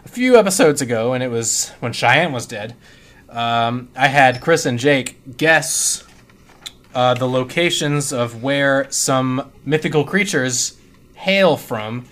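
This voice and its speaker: A man's voice: mid-range voice, animated, takes it slowly, fairly bright tone. A man co-hosting a podcast in casual chat, informal and self-deprecating with some mild swearing. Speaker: podcast co-host.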